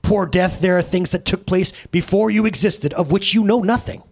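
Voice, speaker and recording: A man voicing a solemn, defiant dying holy man who speaks in measured challenges and commands in a dramatic religious story. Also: medium pitch, very animated, breathy edge. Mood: pitying defiance.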